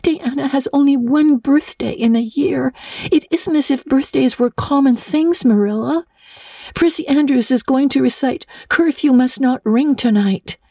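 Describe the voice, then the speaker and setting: A woman's voice: high-pitched, unhurried, dark tone, dry recording, pitched above the performer's own voice. A woman voicing an eager, imaginative young girl in a storybook reading, breathless, gushing and earnest as she pleads. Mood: pleading. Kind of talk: dramatic audiobook reading of classic literature.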